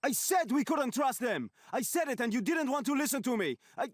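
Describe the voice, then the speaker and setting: An actor playing a hot-headed young male resistance fighter with a husky voice, agitated and insistent. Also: extremely high pitch, lively intonation, fairly quick, no room sound, studio quality. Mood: angry.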